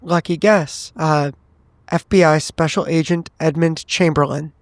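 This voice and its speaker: A man's voice: on the high side, steady tone, a little slow, no echo. A man playing a federal agent in a tabletop game, giving brief, clipped in-character replies.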